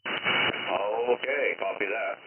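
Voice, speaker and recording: A man's voice: fairly low pitch, drawn-out words. A man trading casual banter over CB radio. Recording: echoey, tinny, very noisy CB radio.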